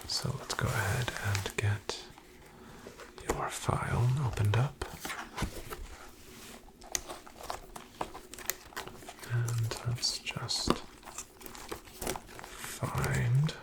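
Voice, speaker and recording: A man playing a polite, reassuring financial advisor in an ASMR roleplay, in a breathy half-whisper with gravelly fry and a slow, soothing, formal delivery. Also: mid-range voice, fairly even intonation. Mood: calm, businesslike. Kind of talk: ASMR, soft-spoken roleplay.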